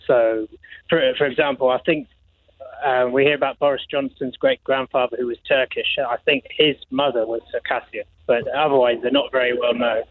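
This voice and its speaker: A man's voice: mid-range voice, fairly even intonation, moderate pace. A British man calling a radio phone-in, explaining informally and earnestly, hesitating as he searches for words. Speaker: phone-in caller. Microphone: telephone. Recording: muffled dry phone line.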